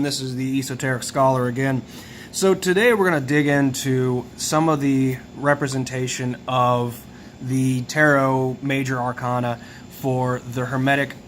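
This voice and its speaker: Hoarse man explaining casually. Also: medium pitch, slight background noise. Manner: relaxed, musing, walks through details. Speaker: tarot video host.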